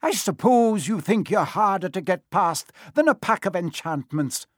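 A man's voice: high-pitched, expressive, normal speed, dry recording, clean studio sound, voice pushed up. A man voicing a strict, indignant older woman, a school professor in a storybook reading, with a scolding manner. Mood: furious. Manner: stern, scolding.

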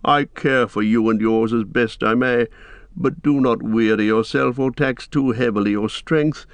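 A man's voice: medium pitch, unhurried, smooth, no room sound, natural voice. A man voicing a cringing, sly royal counsellor in a fantasy storybook, whining and wheedling with obsequious politeness. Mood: oily, fawning. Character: cringing, servile, scheming male royal counsellor, sly and pleading.

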